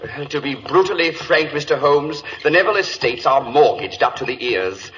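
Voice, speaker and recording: A man's voice: mid-range voice, expressive, moderate pace, a bit bright, slight background noise, lightly acted. An actor playing an anxious British country lord, fussy and hesitant, repeating his words, in an old radio drama. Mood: anxious, flustered. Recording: dull old-time radio recording.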